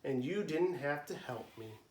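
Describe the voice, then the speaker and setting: An eager little boy mouse brags about all he knows, as a man reads him aloud. His voice sits high and swings all over. The room around him is echoey. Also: expressive, a little slow. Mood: eager boastful. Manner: eager, boastful.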